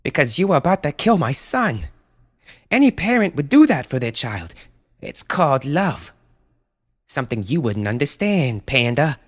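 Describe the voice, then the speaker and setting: A man voicing an anxious, protective mother in a story, with a breathy, pleading urgency. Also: mid-range voice, very animated, moderate pace, pitched above the performer's own voice. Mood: defiant.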